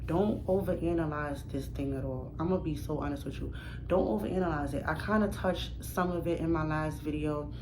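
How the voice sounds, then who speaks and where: A woman's voice: sits low, fairly quick. A young woman from New York with a New York accent, giving casual, emphatic advice straight to camera in a slangy, direct way that repeats her points. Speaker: new YouTuber. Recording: audible background noise.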